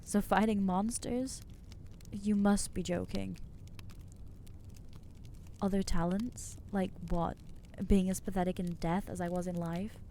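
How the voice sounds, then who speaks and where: A woman's voice: mid-range voice, fairly even intonation, slow pace, pauses often, warm tone, slight background noise. A woman voicing an anxious, self-loathing young female vampire in an ASMR audio roleplay, talking hesitantly and putting herself down. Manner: hesitant, self-deprecating, trailing off.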